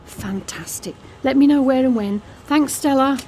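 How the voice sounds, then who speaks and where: A woman's voice: high voice, normal speed, darkish tone, slight background noise, close to an everyday voice. A woman playing an anxious but determined young escort in an intimate, conversational audio drama monologue. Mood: bright, eager. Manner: confiding, conversational.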